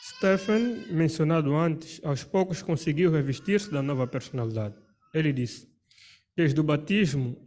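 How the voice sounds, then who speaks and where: A man reading a religious study text aloud to a congregation in a measured, formal way. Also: on the high side, a little mellow. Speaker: paragraph reader.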